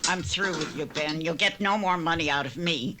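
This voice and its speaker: A woman's voice: deep voice, normal speed, background noise, lightly acted. A woman playing a distraught, indignant frontier widow in a western radio drama. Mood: outraged.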